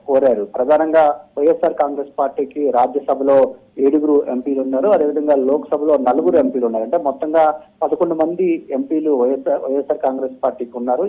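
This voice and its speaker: A male news correspondent, giving a halting, repetitive live report over a phone line. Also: on the high side. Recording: dull, narrow phone-in line.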